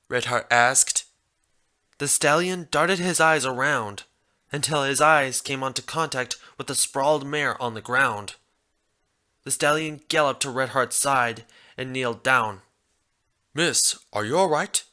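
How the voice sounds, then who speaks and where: Bright-voiced man narrating a story. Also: mid-range voice. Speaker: fan fiction reader.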